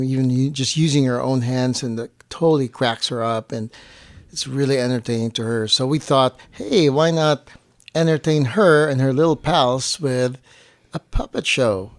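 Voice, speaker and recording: Male guest, casual and anecdotal, mid-pitched, informal delivery, recorded dry. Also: no room sound. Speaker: guest on partner's family show. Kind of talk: casual chat, anecdotal storytelling.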